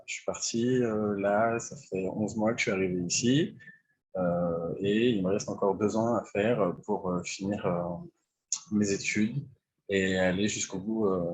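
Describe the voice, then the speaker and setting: Husky, low-voiced young French man. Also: poor audio. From France (Lyon). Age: 20s. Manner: hesitant, many "euh" fillers. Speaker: medical intern. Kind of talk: online panel talk about his career.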